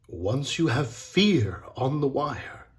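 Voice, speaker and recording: A man's voice: medium pitch, big pitch swings, slow pace. A man voicing a weary, fearful master wire-walker from a storybook, grave and hesitant. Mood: grave, afraid.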